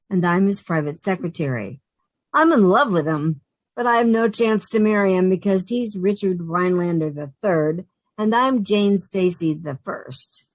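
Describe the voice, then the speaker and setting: A woman's voice: lowish voice, dry recording, poor audio. An actress playing a wisecracking, exasperated but fond working woman in an old-time radio sitcom style, with wry delivery. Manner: wry, sardonic quips.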